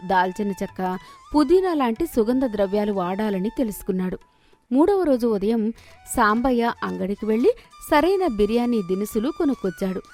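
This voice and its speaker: A woman's voice: medium pitch, a little mellow. A woman storyteller narrating a scripted moral story.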